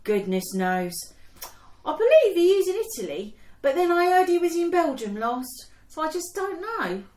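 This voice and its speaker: An actress playing a chatty, excitable young woman of the home front in a wartime radio play. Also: very high voice, moderate pace. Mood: subdued. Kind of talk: period radio drama acting. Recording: echoey room.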